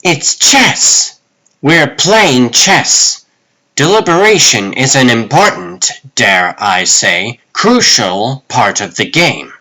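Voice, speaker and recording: Casting: male actor as pedantic, touchy comic villain, sharp tone, animated pitch. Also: slow pace, heavily acted, low-quality recording. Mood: exasperated. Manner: pedantic, exasperated. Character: touchy, conceited male puzzle-loving villain, easily irritated. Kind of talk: comic dub acting.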